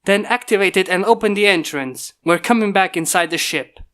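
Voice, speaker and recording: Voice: medium pitch, brisk, fairly bright tone, natural voice. A reader voicing a commanding human male inventor who gives stern, formal orders to robot bodyguards in a story. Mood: tense.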